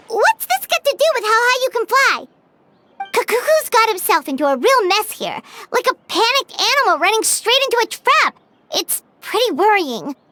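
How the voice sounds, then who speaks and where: Squeaky, excitable little fairy. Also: female voice, a little hiss or hum, full character voice. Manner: exclamatory, fretful, expressive. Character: small childlike fairy companion, excitable, easily worried. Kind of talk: animated game voice acting. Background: music.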